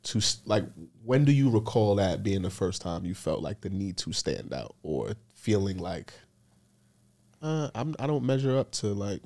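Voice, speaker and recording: A man's voice: lowish voice, sing-song, moderate pace, a little mellow. A man hosting a casual podcast, asking questions with frequent restarts and slang. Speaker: podcast host.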